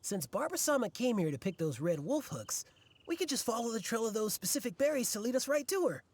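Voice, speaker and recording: A man playing an earnest, devoted young fan in an anime video game, excitable and hesitant. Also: very high voice, quick pace, slight background noise. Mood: earnest, worried. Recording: game music behind.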